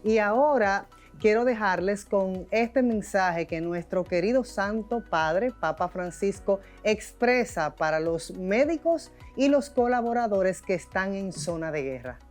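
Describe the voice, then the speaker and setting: A woman's voice: medium pitch, animated, unhurried, few pauses. Female TV host, inquisitive and friendly, faint music under. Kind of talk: TV talk show hosting.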